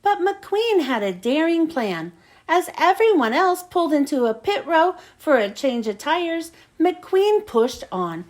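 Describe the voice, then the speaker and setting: Woman, high voice, very lively intonation, children's read-aloud narration. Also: measured pace. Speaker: storybook reader.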